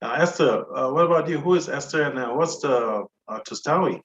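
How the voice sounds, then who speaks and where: A man's voice: medium pitch, a little fast, a bit bright, reverberant. A man hosting a podcast interview, enthusiastic and stumbling over his words as he hands over to a guest. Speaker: podcast host. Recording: dull audio with crowd noise behind.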